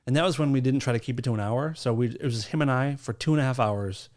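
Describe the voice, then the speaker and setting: A man's voice: medium pitch, quick pace, darkish tone. A man co-hosting a podcast in loose, casual banter, with an irreverent way of talking and some swearing. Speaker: podcast co-host.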